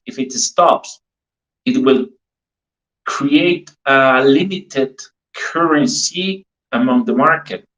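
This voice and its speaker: A man giving an informal opinion in a community discussion, halting and pausing between phrases. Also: medium pitch, fairly bright tone. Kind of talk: informal opinion, weighing pros and cons. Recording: roomy echo, dull lo-fi audio.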